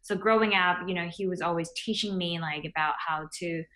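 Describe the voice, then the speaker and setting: Young female founder from Thailand, lower voice, casual guest on a video call. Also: fairly quick, bright, forceful tone. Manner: casual, many fillers like "um" and "you know". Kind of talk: interview answers.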